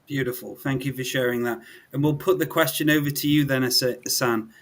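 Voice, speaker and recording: Male talk host, medium pitch, conversational and reflective. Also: steady tone, a little fast. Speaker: show host. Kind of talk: conversational hosting, spiritual reflection.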